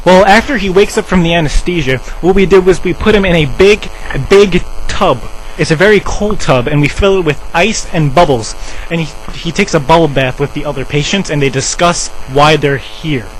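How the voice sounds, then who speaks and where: A man's voice: normal speed, close to an everyday voice. A man playing an officious, defensive drug treatment center staffer in a rambling, insistent improvised prank phone call. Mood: officious, explaining. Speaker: prank caller. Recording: noisy low-quality cell phone call, hum.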